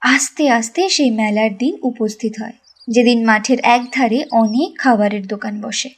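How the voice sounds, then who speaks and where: A woman narrating a cartoon story in a lively, sing-song way. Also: fairly high pitch, normal speed, slightly rough.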